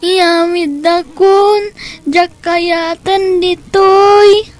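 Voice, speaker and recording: Young schoolboy, high voice, slow plaintive delivery, weary, audio drama. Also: female voice, fairly even intonation, full character voice. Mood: weak, pleading. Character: ailing, worn-out young schoolboy who sings for money. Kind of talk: Ilocano radio drama acting.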